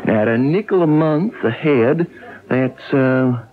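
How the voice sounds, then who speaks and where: A smooth-scheming small-time hustler played by a man in a radio play, coaxing and pressing his pitch in folksy patter. His delivery leaps up and down, heard through a tinny, narrow broadcast sound. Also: lively intonation, unhurried, close to an everyday voice. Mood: persuasive. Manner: coaxing, insistent salesman patter. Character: fast-talking folksy male con artist, persuasive and scheming. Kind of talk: radio drama acting. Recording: tinny 1970s radio broadcast, faint music.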